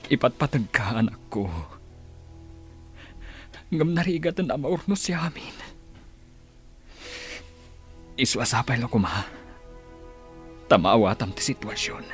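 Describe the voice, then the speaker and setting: An actor playing a loving, weary middle-aged father in an Ilocano radio drama, pleading and breathy with emotion. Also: mid-range voice, expressive, moderate pace, pauses often, close to an everyday voice. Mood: tender, earnest. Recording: music bed behind the voice.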